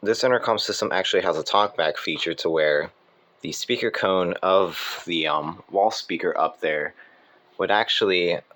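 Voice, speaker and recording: A man casually presenting equipment as a hobbyist, in an informal, halting manner, correcting himself as he goes. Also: low-pitched, a bit bright. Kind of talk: casual hands-on demonstration. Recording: clear background noise.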